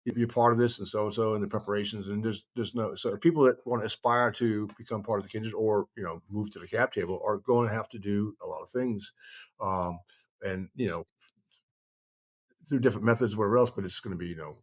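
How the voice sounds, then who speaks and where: A man talking casually as he tells stories and explains things, rambling and halting, often trailing off mid-thought. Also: fairly low pitch, steady tone. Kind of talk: casual conversational storytelling and explaining.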